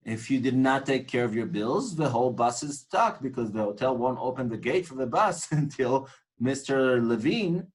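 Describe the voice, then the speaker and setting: A man's voice: mid-range voice, lively intonation, moderate pace. Man lecturing, earnest and reflective. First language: Hebrew. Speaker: tour guide instructor. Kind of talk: informal lecture on guiding.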